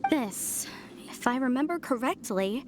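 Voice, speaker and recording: A woman's voice: high-pitched, animated, measured pace. A woman voicing an earnest, proper, scholarly young woman on an expedition in a video game. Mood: intrigued. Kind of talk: scripted video game dialogue. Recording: music behind the voice.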